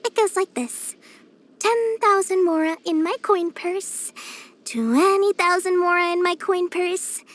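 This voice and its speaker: A woman's voice: very high-pitched, unhurried, fairly bright tone. A woman voicing a small, cheerful, greedy young female merchant for a video game, with theatrical, sing-song sales patter. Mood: playful, greedy. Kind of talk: animated video game voice acting.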